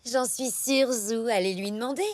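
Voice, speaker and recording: High-voiced, hesitant mother zebra played by a woman. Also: moderate pace, no room sound, natural voice. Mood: encouraging. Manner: hesitant, expressive. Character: gentle, scatterbrained mother zebra. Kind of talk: children's cartoon dubbing.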